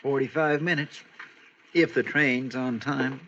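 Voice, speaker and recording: A man's voice: medium pitch, steady tone, a little slow, fairly bright tone. A loyal western sidekick with a rural American drawl and a mid-range voice. He is fretful, deferential and homespun. The old radio recording is muffled, with a little noise. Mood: anxious, fretful. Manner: folksy, hesitant, polite.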